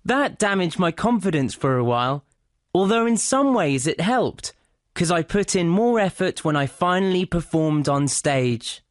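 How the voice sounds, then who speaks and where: A woman reading a scripted, reflective monologue for a listening exam, in a measured way. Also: fairly low pitch, expressive, dry recording, studio quality. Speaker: exam recording speaker.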